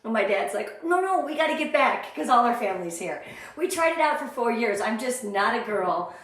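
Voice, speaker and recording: High-pitched, excitable American woman in echoey room. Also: moderate pace. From Southern California, USA. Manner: high-energy, gushing, excitable, sing-song greetings. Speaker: sales director leading a motivational training. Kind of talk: live online pep talk, greeting viewers. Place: indoor room, live stream with ring light. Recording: very echoey, roomy sound.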